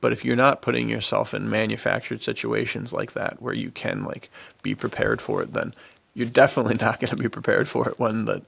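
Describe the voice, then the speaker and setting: A male podcast co-host with a heavily fried, gravelly voice on the low side. He talks casually and ramblingly in a loose, informal way, recorded close and dry. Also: steady tone, a little fast, fairly bright tone, dry recording. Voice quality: gravelly, creaky. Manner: informal, rambling, peppers talk with "dude". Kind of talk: casual chat about adventure fiction.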